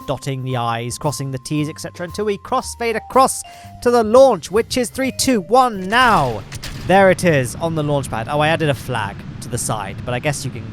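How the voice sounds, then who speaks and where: A slightly high-voiced English man with a nasal, hay-fever-congested sound, chatting his way through a space game. He rambles, restarts his sentences and pokes fun at himself, with faint music underneath. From England. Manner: rambling, self-deprecating, lots of fillers and restarts. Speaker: gaming channel host. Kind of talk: chatty gameplay commentary.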